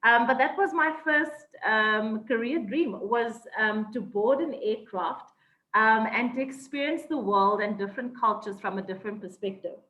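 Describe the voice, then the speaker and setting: A woman's voice: on the high side, moderate pace, fairly bright tone. A South African woman telling her life story in an interview, thoughtful, with many ums and restarts. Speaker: guest. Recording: echoey, dull lo-fi sound.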